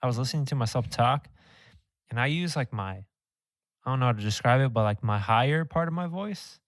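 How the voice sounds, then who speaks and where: Nasal young dude rambling on a podcast, dry close mic. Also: male voice, medium pitch, animated, brisk, no room sound. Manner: laughing, rambling. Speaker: podcast co-host. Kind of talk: casual chat.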